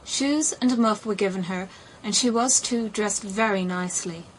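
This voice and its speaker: A woman reading a fairy tale as an audiobook narrator, in an expressive storytelling manner. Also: medium pitch, normal speed, rough recording. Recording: clear background noise.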